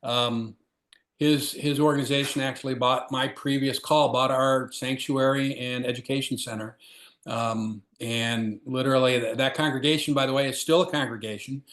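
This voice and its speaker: Brief: male host and former pastor introducing a guest on a video call, informal and halting, echoey room. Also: medium pitch, steady tone, fairly bright tone. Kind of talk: introducing a speaker. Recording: roomy echo.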